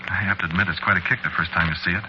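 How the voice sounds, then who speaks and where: A male actor as a brooding killer confessing his story in an old radio horror drama. His voice is low, with a world-weary, halting, conversational delivery. The recording is muffled and clearly noisy. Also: fairly even intonation, natural voice. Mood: uneasy. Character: brooding, guilt-haunted male murderer telling his story. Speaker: narrator and lead actor. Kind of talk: 1940s radio horror drama, first-person confessional narration.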